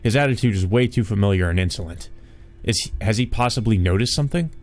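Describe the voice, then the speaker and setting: A man's voice: fairly low pitch, lively intonation, quick pace, darkish tone, soft background music. A man hosting a let's play, reading visual novel narration aloud and commenting casually.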